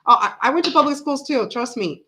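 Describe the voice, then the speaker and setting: A woman's voice: sits high, lively intonation, fairly quick, bright, forceful tone. A woman in her forties, her accent sitting between American and Canadian, rambles easily through a casual livestream chat. She talks in loose runs with many "ums" and restarts, like someone answering viewers late into a long session. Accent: mixed American and Canadian. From Canada, raised in South Florida. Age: 40s. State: tired. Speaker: livestream host. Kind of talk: casual chat reading live comments.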